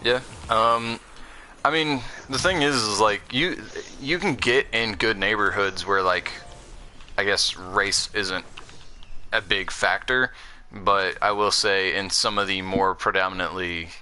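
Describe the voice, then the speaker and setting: A man's voice: on the low side, steady tone, a little slow, sharp tone, a little hiss or hum. A young American man from the South with a mixed Southern and Northern accent, chatting casually and rambling while he streams a game. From Southern United States. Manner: rambling, many fillers and restarts. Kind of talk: casual chat while gaming.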